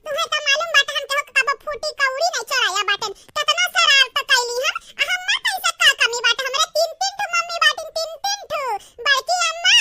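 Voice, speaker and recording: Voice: very high voice, expressive, a little fast, hardly any pauses, bright, forceful tone, dry recording, heavily acted. A performer voicing a cheeky, indignant village child in a comedy cartoon, with an Awadhi accent. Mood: cheeky indignation. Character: cheeky mischievous village child protesting innocence.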